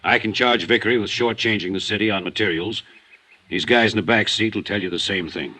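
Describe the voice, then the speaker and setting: A man's voice: on the low side, fairly quick, pushed and bright. An American actor playing a wary small-town construction foreman in a 1950s radio drama, plain-spoken and guarded. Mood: blunt, guarded. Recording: noisy vintage radio recording.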